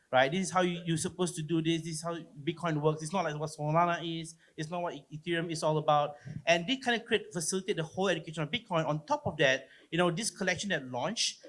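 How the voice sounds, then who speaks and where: A man's voice: fairly high pitch, a bit bright. A man with a Singaporean accent, speaking casually as a panelist at a conference, explaining things loosely and often tagging on questions. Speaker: panelist, platform co-founder.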